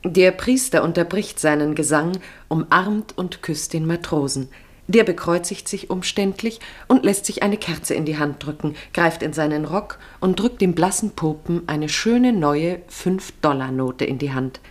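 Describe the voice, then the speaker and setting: Low-voiced woman narrating a radio play. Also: unhurried. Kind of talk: literary radio play narration, present tense.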